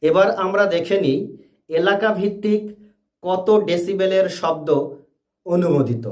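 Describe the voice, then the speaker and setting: A man presenting a scripted educational explainer in deliberate, separate phrases. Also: sits high, darkish tone. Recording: echoey room.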